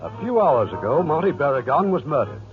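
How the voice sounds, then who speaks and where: A man's voice: a little high, big pitch swings, fairly quick. A man announcing and narrating a drama in 1940s radio style. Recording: muffled old radio, orchestral music behind.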